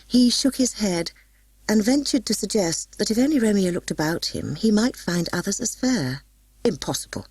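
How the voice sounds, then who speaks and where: A woman reading a scripted storybook as an audiobook narrator, in a husky voice with dramatic, expressive delivery. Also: mid-range voice, normal speed, no room sound, rough recording.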